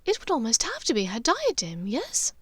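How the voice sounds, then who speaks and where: A woman voicing a refined, anxious older aristocratic witch mother in an audiobook story, breathy, careful and gently firm. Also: fairly high pitch, wildly swooping pitch, normal speed, dry recording, pitched above the performer's own voice, exaggerated voice. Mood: thoughtful.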